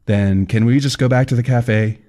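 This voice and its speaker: A man's voice: medium pitch, steady tone, normal speed, mellow, pitched above the performer's own voice, natural voice. A man voicing a bewildered young male aspiring writer who questions everything in an exasperated way.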